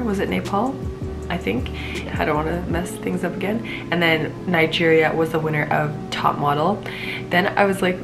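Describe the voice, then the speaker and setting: A young woman with a stuffed-up cold, reviewing a pageant in a bubbly, rambling, casual vlog to camera from a small room. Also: sits low. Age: young adult. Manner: bubbly, frequent "like", rambling asides. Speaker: pageant vlogger. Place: small indoor room at home.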